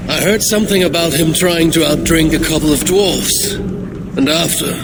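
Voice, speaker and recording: A man's voice: on the high side, moderate pace, loud music behind the voice, natural voice. A male actor playing a shrewd Norse warrior god in a video game, speaking in a dry, terse way. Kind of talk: video game cutscene acting. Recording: heavy background ambience noise.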